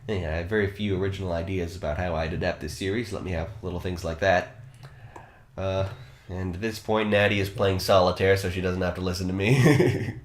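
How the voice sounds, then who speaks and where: A man with a low voice hosts a casual podcast from home, recapping a story with earnest enthusiasm. He sounds a bit self-conscious and chuckles now and then. The recording carries a noticeable room echo. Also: steady tone, a little fast. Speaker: podcast host. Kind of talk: casual podcast narration and chat.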